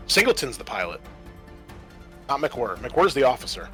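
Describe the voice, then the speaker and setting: A man's voice: medium pitch, very animated, normal speed, fairly bright tone. A man acting as game master, narrating a horror tabletop roleplaying game in an improvised way, mixing storytelling with rules talk and dry asides. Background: background music.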